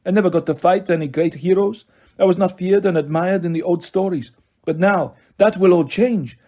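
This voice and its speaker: A man's voice: high-pitched, moderate pace, dark tone, pitched above the performer's own voice. A man voicing a pompous, menacing male manticore villain with a French accent in a storybook reading. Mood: bitter, boastful. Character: gloating villainous male manticore in military disguise.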